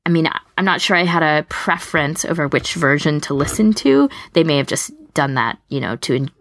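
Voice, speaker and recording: A woman's voice: deep voice, expressive, a little fast, fairly bright tone. A woman co-hosting a casual podcast discussion, chatty and explaining her thoughts at length. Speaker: podcast co-host.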